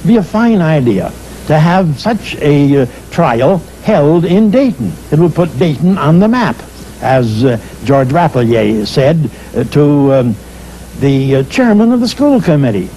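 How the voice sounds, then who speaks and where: An older man recounting past events in a measured, hesitant way, with frequent pauses and uh's. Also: fairly high pitch, very animated, mellow, a little hiss or hum, low-quality recording. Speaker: storyteller recalling history. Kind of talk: spoken historical recollection.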